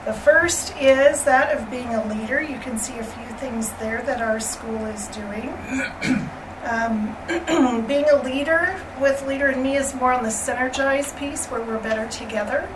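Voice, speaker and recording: A woman with a voice going hoarse gives a friendly, chatty slide presentation at a school board meeting, with many ums and throat clearing. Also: high voice, measured pace. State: losing her voice, hoarse. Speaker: elementary school staff presenter. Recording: distant room mic with steady noise.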